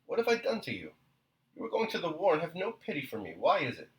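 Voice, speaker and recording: A man's voice: medium pitch, very animated, normal speed, lightly acted, low-quality recording. A man voicing a petulant young pregnant princess who complains and pleads tearfully. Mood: tearful pleading. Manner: querulous, pleading.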